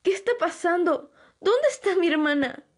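A woman's voice: extremely high pitch, lively intonation, moderate pace. A woman playing a sweet, bewildered young girl in an audio roleplay, with a hesitant, naive manner. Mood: confused, alarmed. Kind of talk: ASMR audio roleplay acting.

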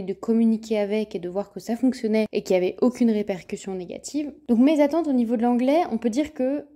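A woman's voice: mid-range voice, dark tone. A French woman hosting a solo podcast, thinking aloud with many fillers and restarts as she reflects on an experience. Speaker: podcast host.

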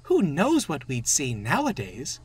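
Guy putting on a chatty genteel lady train coach for a storybook, high, very up and down. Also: huge pitch swings, a little slow, husky, exaggerated voice. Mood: dreamy. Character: chatty, wistful female railway coach.

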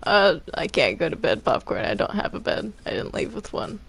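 A woman's voice: on the low side, sing-song, normal speed, gravelly, fairly bright tone, slightly rough. A young woman playing a character in a game roleplay, talking casually and stammering when flustered. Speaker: roleplay player.

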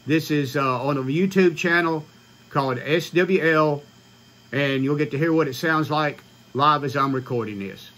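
A man in his sixties with an American accent, explaining informally to camera in a halting, matter-of-fact way. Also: on the high side, fairly bright tone, slight background noise. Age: 60s. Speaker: ham radio vlogger. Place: small home radio room, indoors.

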